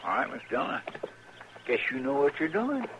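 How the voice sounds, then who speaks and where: An actor playing a grumbling, deferential deputy sidekick with a rural Western American drawl in a 1950s radio western. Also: male voice, medium pitch, very animated, moderate pace. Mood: reluctant, deferential. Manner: folksy, deferential, querulous. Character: folksy, grumbling, eager-to-please cowboy deputy sidekick. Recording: dull, faintly noisy old radio recording.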